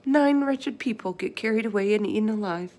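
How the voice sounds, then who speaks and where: A woman's voice: mid-range voice, brisk, darkish tone, pitched above the performer's own voice, lightly acted. Curious, kind little girl from a storybook, read by a woman, proper, earnest and questioning. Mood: appalled, curious. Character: young girl, curious, shocked, polite and kind.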